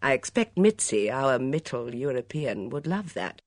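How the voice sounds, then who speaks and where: Woman as a brisk, composed, husky lady of the house. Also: very deep voice, very animated, no room sound, clean studio sound, exaggerated voice. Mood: cheerful, composed.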